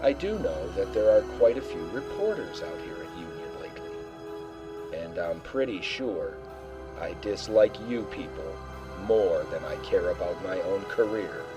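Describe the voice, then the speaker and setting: A man's voice: sits low, big pitch swings, a little slow, loud music behind the voice. An actor playing a frustrated male starship commander speaking bluntly.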